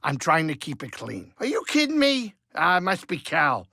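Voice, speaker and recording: An actor voicing a grumpy, wisecracking older alien pilot and cook in a video game, sarcastic and blustery. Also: male voice, on the high side, huge pitch swings, normal speed, gravelly, sharp tone, no room sound, exaggerated voice. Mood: exasperated, grumbling. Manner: sarcastic, blustery, grumbling banter with exasperated outbursts. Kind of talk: video game character dialogue.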